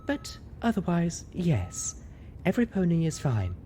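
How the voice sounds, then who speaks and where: A man voicing a haggard female pony medic, raspy, dry and snarky, in a story reading. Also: fairly high pitch, wildly swooping pitch, a little slow, mellow, slight background noise, pitched above the performer's own voice. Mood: dour, snarky. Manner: dry, somber.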